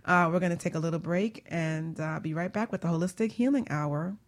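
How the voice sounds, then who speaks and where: A woman's voice: lowish voice, fairly quick, a little hiss or hum. Woman, radio co-host, casual chat, informal, slangy, jokey. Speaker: co-host of a holistic healing radio show and intuitive reader.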